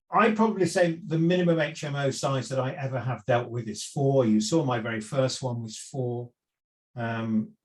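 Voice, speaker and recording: Chatty middle-aged male property speaker. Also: medium pitch. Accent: none Welsh. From lived in South Wales for years. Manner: conversational, frequent fillers and restarts. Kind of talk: presenting a seminar talk.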